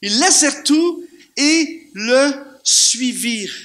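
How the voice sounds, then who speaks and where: Animated Canadian French preacher, high bright voice. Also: male voice, slow pace. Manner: animated, repeats words for emphasis, rhetorical questions. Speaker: guest preacher. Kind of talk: lively sermon. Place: church, before a congregation.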